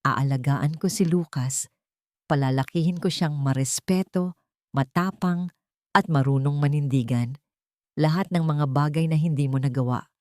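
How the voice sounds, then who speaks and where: A woman voicing a resolute young mother in a story, wounded but firm and measured. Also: sits low, a little mellow, dry recording, studio quality, natural voice.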